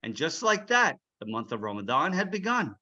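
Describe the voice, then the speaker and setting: A man reads a children's story aloud in an expressive, highly animated way. His voice is fairly high and bright, and the recording is slightly dull. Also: normal speed. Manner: expressive, checks in with listeners. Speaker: author reading aloud.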